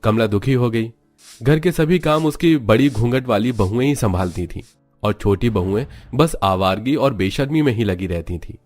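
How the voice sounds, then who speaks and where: A man narrating a cartoon story in a steady, dramatic storytelling manner. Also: medium pitch, normal speed, dry recording, faint music behind.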